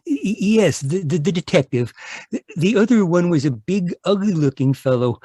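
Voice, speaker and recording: A man acts out a timid, anxious husband in a radio mystery. He stammers and frets in a husky, fairly high voice with lively swoops. The recording is dry and close. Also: normal speed, dry recording. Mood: nervous. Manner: stammering, hesitant, fretful. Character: timid, anxious runaway husband, Tom Turkey. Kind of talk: old-time radio drama acting. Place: live online performance over video call.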